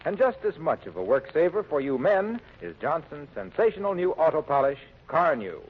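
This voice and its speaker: An American man works as a 1940s radio announcer with a polished sales delivery. His pitch moves in big sweeps and the voice is somewhat husky. The recording is muffled and phone-narrow. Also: very animated, moderate pace.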